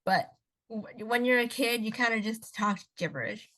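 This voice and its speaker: A woman's voice: a little high, animated, moderate pace, a bit bright, rough recording. Chatty young woman podcaster, rambling. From Canada. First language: Cantonese. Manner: chatty, rambling with restarts and asides. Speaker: podcast co-host. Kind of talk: casual chat.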